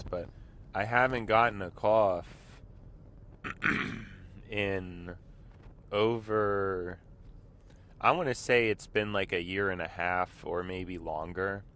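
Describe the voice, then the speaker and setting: A man with a very deep, congested voice rambles slowly from the seat of a car. He keeps stopping to clear his throat, and his words come out in loose, meandering stretches. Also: fairly bright tone. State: congested, slightly sick voice. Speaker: vlog host. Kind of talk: casual talk while driving. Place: inside a car.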